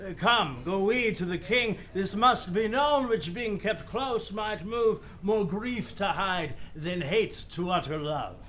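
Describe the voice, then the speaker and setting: An actor playing a fussy, long-winded old royal counselor in a Shakespearean radio play, rambling and interrupting himself theatrically. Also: male voice, sits high, animated, slow, few pauses, a bit bright, a little hiss or hum, heavily acted. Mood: agitated, concerned.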